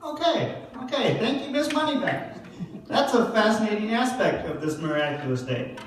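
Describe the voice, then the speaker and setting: A man's voice: high voice, very animated, moderate pace. A man playing a chatty talk-show host doctor in a church puppet show. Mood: jovial. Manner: presenterly, addresses audience and guests. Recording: very echoey hall, distant mic, faint noise.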